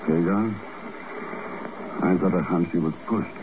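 An actor playing a composed, suave secret agent with a dry, understated manner in a 1950s radio drama. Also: male voice, sits low, measured pace. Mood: urgent. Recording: muffled, noisy old radio recording.

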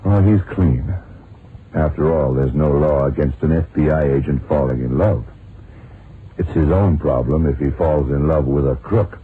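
A man's voice: very deep voice, moderate pace. An actor playing a cool, self-assured detective in a radio crime drama, unruffled and wry as he explains things. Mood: matter-of-fact, assured. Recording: muffled noisy vintage radio drama recording.

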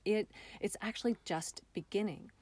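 A woman's voice: mid-range voice, normal speed, darkish tone, dry recording. A woman speaking as an interview guest, explaining an arts project in a conversational way. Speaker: interview guest, theatre artist.

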